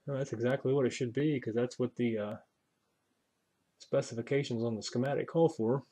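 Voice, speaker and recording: A man's voice: mid-range voice, fairly even intonation, fairly quick, warm tone, breathy edge. Man, mid-range voice, folksy, casual hobbyist demonstrator, unscripted, thinking aloud while working. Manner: folksy, musing aloud while handling parts. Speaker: hobbyist radio restorer presenting a repair video. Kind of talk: casual unscripted hands-on walkthrough.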